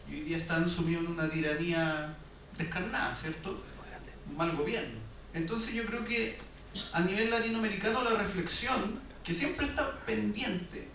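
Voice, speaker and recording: A man speaks as a panelist, building his argument in a measured, reflective way. His voice sits fairly high for a man. He sounds far from the microphone in a very echoey lecture hall with faint noise behind. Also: a bit bright. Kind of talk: panel discussion talk.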